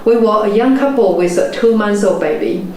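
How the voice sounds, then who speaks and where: A woman in her forties, a native Chinese speaker with a Chinese accent, giving a measured, earnest motivational talk as a property investment mentor. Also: mid-range voice, warm tone. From China. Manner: measured, earnest storytelling delivery. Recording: very echoey room, faint background noise.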